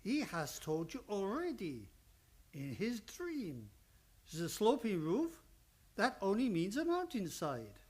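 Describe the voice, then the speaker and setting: A woman playing a wise, deliberate dream-reading mentor in old time radio drama. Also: medium pitch, wildly swooping pitch, darkish tone, breathy edge, exaggerated voice. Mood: knowing, measured.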